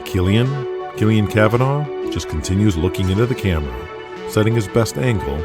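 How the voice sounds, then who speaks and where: A man's voice: lowish voice, expressive, brisk, loud music behind the voice. A man narrating a scripted audio drama.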